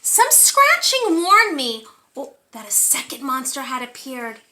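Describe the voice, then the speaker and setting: Very high, animated woman reading storybooks. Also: measured pace, noisy background. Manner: encouraging, asks young listeners questions. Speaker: read-aloud storyteller for preschoolers. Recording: echoey room.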